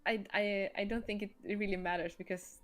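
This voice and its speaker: A woman's voice: mid-range voice, steady tone, brisk. A woman hosting a livestream about her own game, explaining casually and searching for words. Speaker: livestream host and game creator.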